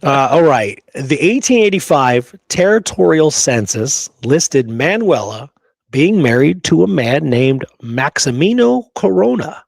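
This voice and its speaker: A man's voice: fairly high pitch, sing-song, measured pace, creaky, no room sound. A man hosting a history show, presenting research emphatically, partly from prepared notes, often checking in with listeners. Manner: emphatic, checks in with rhetorical right and okay.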